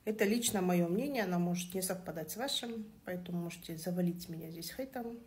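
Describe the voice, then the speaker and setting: A woman's voice: lowish voice, fast talker, darkish tone. A woman whose native language is Kazakh, giving her opinion in a casual monologue, earnest with hesitant fillers.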